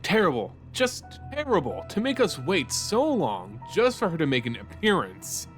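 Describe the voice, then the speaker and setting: A man's voice: on the high side, huge pitch swings, normal speed, faint music behind. A man voicing a haughty male vampire aristocrat in a story reading, complaining in a theatrical, scandalized manner. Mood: indignant.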